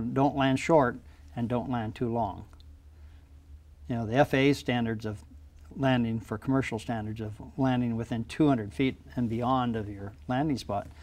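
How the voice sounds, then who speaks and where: A man's voice: medium pitch, fairly even intonation, measured pace, darkish tone. An older man, a pilot, explaining flying practice in a plainspoken, practical way in a small TV studio interview on a lapel mic. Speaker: guest pilot.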